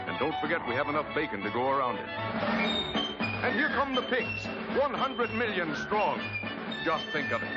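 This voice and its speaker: An American man delivering an emphatic, dramatic scripted narration in the style of a 1940s wartime propaganda film. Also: a little high. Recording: dull vintage 1940s film soundtrack. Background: loud orchestral film score.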